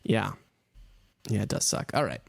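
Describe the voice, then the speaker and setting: A man with a gravelly voice chats casually on a podcast, wandering through a story and leaning on filler words. The recording is close and dry, with no room sound. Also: on the low side, big pitch swings, normal speed, dry recording. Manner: rambling storyteller, heavy use of "like". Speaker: podcast co-host. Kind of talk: casual chat.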